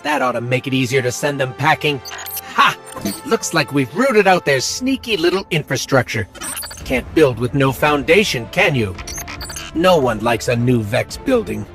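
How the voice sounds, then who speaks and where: Lively, wry sci-fi squad ally. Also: male voice, mid-range voice, moderate pace, faint music behind. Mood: gleeful. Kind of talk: video game mission dialogue.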